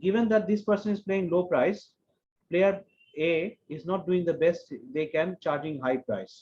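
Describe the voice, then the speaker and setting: Brief: male lecturer, high-pitched, methodical, repeats key phrases over a dull call line. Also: expressive, a little mellow, a little hiss or hum. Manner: explains step by step, repeating key phrases slowly. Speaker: economics lecturer. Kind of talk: online university lecture.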